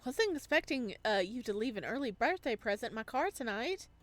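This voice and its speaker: A woman's voice: fairly high pitch, very animated, a little fast, a bit bright. A woman voicing a teasing, motherly young sister in a visual novel, playful and bossy. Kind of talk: visual novel character read-aloud.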